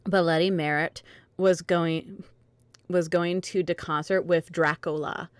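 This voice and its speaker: A woman's voice: fairly low pitch, steady tone, takes it slowly, smooth, no echo. A woman hosting and narrating an amateur dramatic reading, with casual chat along the way. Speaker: host and narrator.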